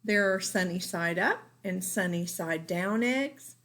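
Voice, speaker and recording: A woman's voice: lowish voice, measured pace, fairly bright tone. Woman reading a mild, genial father. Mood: mild, patient. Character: genial, mild storybook father fond of food.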